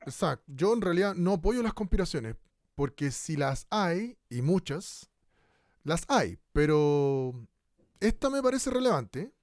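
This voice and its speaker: An actor playing a radio co-host who speaks hesitantly, with many pauses. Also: male voice, on the high side, very animated, a little mellow, no room sound, clean studio sound. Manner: hesitant, full of "eh". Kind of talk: radio drama acting.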